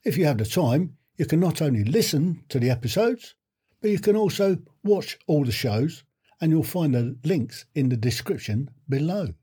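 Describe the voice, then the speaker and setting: A man's voice: fairly high pitch, expressive, normal speed, dark tone, breathy edge. A man hosting an interview podcast, introducing the show and putting questions to his guest. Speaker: podcast host.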